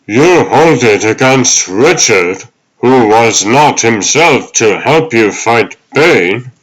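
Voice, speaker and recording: A man voicing the ghost of an old butler, fatherly, earnest and deliberate, in a dramatic comic dub. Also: mid-range voice, animated, fairly bright tone, poor audio. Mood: solemn, caring.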